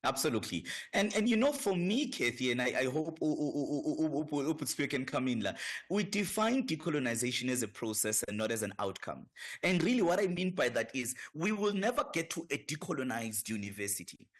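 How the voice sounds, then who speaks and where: A man's voice: on the high side, very animated, fairly quick. A man from South Africa with a South African accent, a professor guest on a radio talk show, speaking with impassioned emphasis in building lists. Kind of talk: radio discussion.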